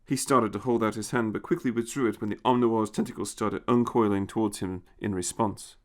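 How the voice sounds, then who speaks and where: A man's voice: fairly low pitch, steady tone, brisk. A man reading a scripted science fiction story as its narrator.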